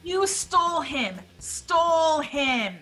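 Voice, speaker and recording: Bright, furious jilted teenage girl, played by a woman. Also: high voice, animated, takes it slowly, soft background music, full character voice, low-quality recording. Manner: accusing, dramatic.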